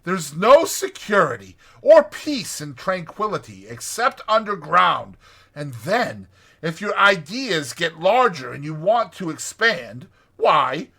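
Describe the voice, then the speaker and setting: Storybook badger, played by a man: hearty, kindly, opinionated, emphatic, pitched high with big forceful swings. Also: creaky, full character voice. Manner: emphatic, rhetorical, declaiming. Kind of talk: dramatized storybook reading.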